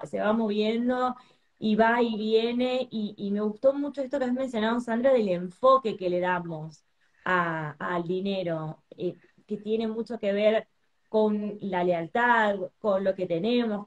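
A woman in her thirties hosting a live interview over a video call from an indoor room, rambling, with many restarts. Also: mid-range voice. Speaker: interview host. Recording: dull lo-fi video call audio.

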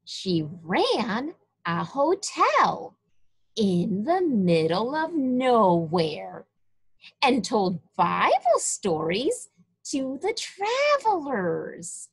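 A woman reading a Bible story aloud to young children, with deliberate, emphatic phrasing. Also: on the high side, wildly swooping pitch, husky.

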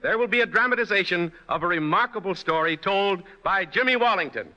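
A man's voice: high voice, expressive, a little fast. A man hosting a 1940s radio show, announcing and narrating from a script. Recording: dull, noisy old radio recording, long reverb.

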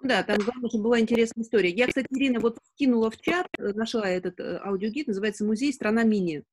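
A woman in her forties hosts an informal webinar over a video call, answering questions in a halting way as she thinks aloud. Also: on the high side, breathy edge. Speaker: webinar host. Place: indoors, room at home. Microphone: video call on a laptop.

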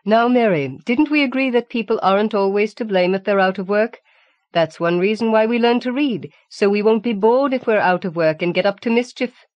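A woman's voice: mid-range voice, fairly quick, no echo, lo-fi sound, voice pushed up, lightly acted. Audiobook role: patronising female teacher done by a woman reader, earnest, admonishing, closely recorded and dry. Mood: patient, admonishing. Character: prim, patronising female schoolteacher.

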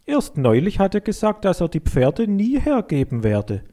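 Man as an eager, high-pitched farm boy. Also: huge pitch swings, normal speed, warm tone. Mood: earnest. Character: loyal, curious, eager young farm boy.

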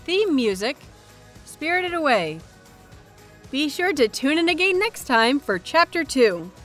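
A woman reading a scripted story aloud as an expressive audiobook narrator. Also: high-pitched, a little slow, faint music behind.

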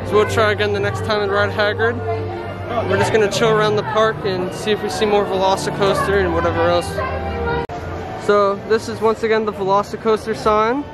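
A man's voice: sits high, little pitch movement, brisk, loud music behind the voice. A young man chatting casually as he walks a theme park and films with a handheld camera. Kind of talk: casual walking vlog narration. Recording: loud crowd and outdoor noise. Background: crowd and park noise.

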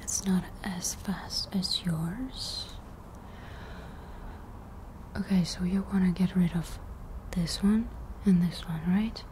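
A young woman playing an unhurried, soft-spoken cyberpunk tattoo removal technician in a breathy, intimate ASMR roleplay whisper. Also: lowish voice, a little hiss or hum. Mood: calm, attentive. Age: young adult. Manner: slow, hushed, intimate close-up delivery. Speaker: ASMR performer.

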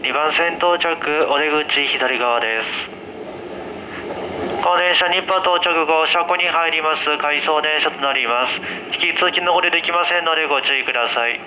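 A man with a fairly high voice gives routine train announcements in a clipped, formulaic way. He speaks over the car's PA, with clear rumbling noise all around. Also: moderate pace, muffled recording. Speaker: train driver making announcements. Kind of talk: live routine transit announcement. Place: over the PA inside a subway car. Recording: train PA amid loud rumble.

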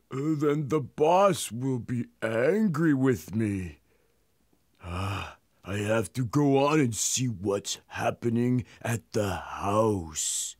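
A woman's voice: extremely low pitch, a little mellow, husky, dry recording, studio quality, heavily acted. A woman voicing a cowardly, dim-witted male thief henchman in a storybook cartoon, hesitant, whiny and fearful.

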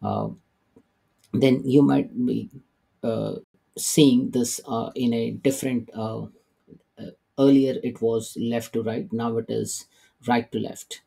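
Gravelly, low-toned man explaining software. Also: steady tone, slow pace, long pauses. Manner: hesitant, many "uh" and "okay" fillers. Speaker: tech tutorial presenter. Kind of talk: screen-recorded software walkthrough.

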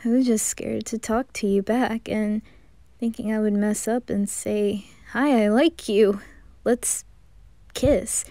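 Woman playing a mellow, tender boy in love. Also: on the high side, steady tone, slow pace, no echo. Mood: earnest. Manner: gentle, breathy, often near whispering. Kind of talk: amateur romantic ASMR roleplay.

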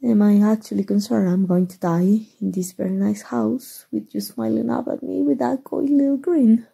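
Woman acting a breathy, shy, nerdy superheroine. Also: mid-range voice, steady tone, heavily acted. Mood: flustered, smitten. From Mexico. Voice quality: breathy, soft. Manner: halting, stammering, awkward. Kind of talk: ASMR roleplay acting.

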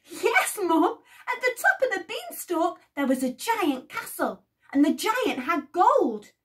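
A woman voicing Jack, an excited little boy, in a chirpy singsong manner in a read-aloud storybook. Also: very high voice, takes it slowly, recorded from a distance, slight background noise, voice pushed up, heavily acted.

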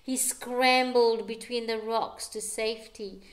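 Middle-aged female storyteller, higher-pitched, unhurried, deliberate and dramatic for little listeners. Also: fairly even intonation. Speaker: teacher reading to children. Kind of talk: expressive picture-book read-aloud with explanatory asides. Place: living room at home.

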